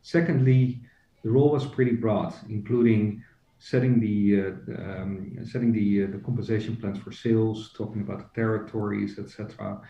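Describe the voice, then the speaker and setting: A man's voice: fairly low pitch, fairly even intonation, normal speed, mellow. Hesitant man on a dull, distant, roomy line. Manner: hesitant, many fillers and restarts. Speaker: podcast guest, HR executive and company co-founder. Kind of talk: casual interview. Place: joining remotely from home.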